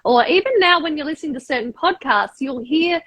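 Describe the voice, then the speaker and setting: A woman's voice: high-pitched, lively intonation, a little fast, a bit bright, dry recording. A woman with a broad Australian accent, hosting a podcast and often tagging her points with "right?". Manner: emphatic, often tags "right?". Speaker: podcast host, media coach. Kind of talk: casual podcast chat.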